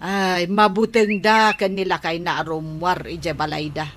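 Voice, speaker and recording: An actress playing a meek, apologetic older aunt in an Ilocano radio comedy drama. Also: female voice, lowish voice, steady tone, unhurried, a bit bright, a little hiss or hum.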